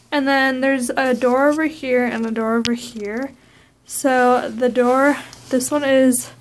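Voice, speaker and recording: A woman's voice: sits high, a little hiss or hum. A young woman chatting casually to a vlog camera in an informal, hesitant way with trailing phrases. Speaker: vlogger. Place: indoors, bedroom and hotel suite.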